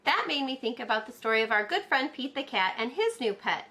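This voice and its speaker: Woman, school read-aloud host, high lively voice, warm teacherly asides. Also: fairly quick. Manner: gentle, animated reading with teacherly asides to children. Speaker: school librarian reading aloud. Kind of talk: children's read-aloud storytelling. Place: home.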